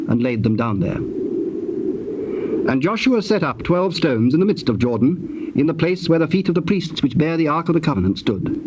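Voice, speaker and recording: A British man narrates a dramatized Bible with formal, deliberate phrasing. His mid-range voice is solemn. The old tape sounds muffled and has clear background noise. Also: mid-range voice. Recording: dull, noisy old cassette tape.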